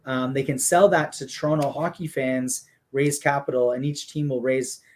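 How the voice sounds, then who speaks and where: A man's voice: mid-range voice, moderate pace. Man explaining in easy, filler-laden talk. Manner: steady, explanatory, many fillers like "you know" and "um". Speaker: guest explaining a hockey league business model. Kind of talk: casual conversational explanation.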